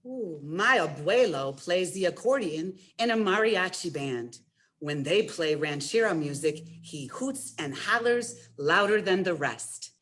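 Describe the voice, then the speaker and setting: A woman's voice: lowish voice, expressive, measured pace, fairly bright tone. A woman presenting a storytime as a children's librarian, talking directly to young listeners in an encouraging way and reading a picture book aloud.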